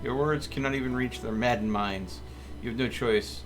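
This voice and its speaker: A man's voice: mid-range voice, moderate pace. A man giving casual live gameplay commentary as a streamer, muttering to himself and reading game text with some drama. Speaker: gaming streamer. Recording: music behind the voice. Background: game music.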